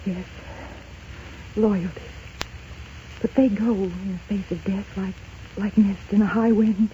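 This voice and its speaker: A woman's voice: mid-range voice, steady tone, slow pace, mellow, a little hiss or hum, lightly acted. An actress playing a yearning, resolute married woman in a doomed romance, breathless and melodramatic in 1930s radio drama style. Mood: tender, resigned. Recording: muffled 1930s radio broadcast.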